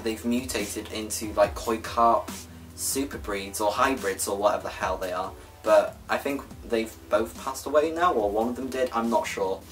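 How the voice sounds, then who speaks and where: A young Welsh man in his late teens talks casually to his channel's viewers. He rambles and backtracks, filling gaps with "like" and "um", at an ordinary middle pitch. Quiet music plays faintly behind him. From Wales. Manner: rambling, chatty, self-correcting with many fillers. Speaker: gaming YouTuber. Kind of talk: casual vlog talk to viewers.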